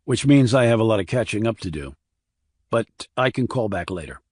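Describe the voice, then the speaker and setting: A man's voice: on the low side, animated, moderate pace, darkish tone, no echo. A man playing a terse, wry, formal Army investigator with clipped military delivery in an audiobook. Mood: controlled, polite. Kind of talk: audiobook character acting.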